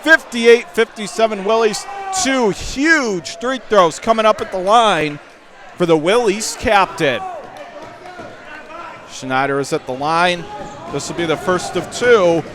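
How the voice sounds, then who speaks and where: A man calling live basketball play-by-play for radio in clipped, urgent phrases that rise into excited calls. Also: high-pitched, slow pace. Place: indoor high school basketball gym. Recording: game crowd and gym noise behind.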